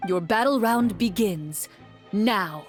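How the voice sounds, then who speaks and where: A woman's voice: medium pitch, slow, over loud music. A woman giving scripted second-person storytelling narration for a video game.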